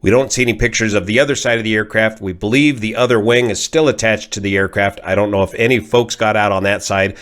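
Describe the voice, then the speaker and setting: A man with an American accent and a fairly low voice speaks as an aviation commentator. He explains technical matters in an informal but methodical way, laying out each point in measured steps. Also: fairly even intonation, fairly bright tone. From California, United States. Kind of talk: informal explanatory accident analysis.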